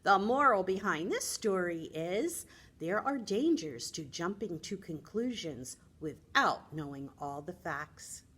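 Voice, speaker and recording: An American woman talks to young children like a librarian at storytime. Her voice is slightly low and husky, with lots of melodic movement. She is expressive and teacherly, keeping her phrasing simple. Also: takes it slowly. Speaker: children's librarian presenting books.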